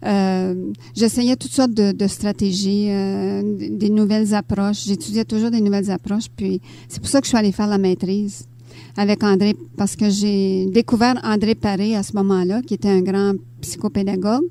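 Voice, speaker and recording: An older woman from Ontario with a Canadian French accent, answering interview questions conversationally and pausing often as she thinks. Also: mid-range voice, steady tone, mellow, no echo. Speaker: interview guest, author and teacher. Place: radio studio.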